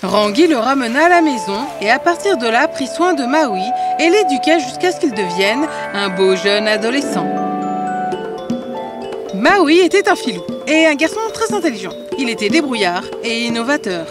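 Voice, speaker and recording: A woman's voice: on the high side, big pitch swings, normal speed. A woman narrating a scripted fairy tale as a storyteller. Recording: music behind the voice.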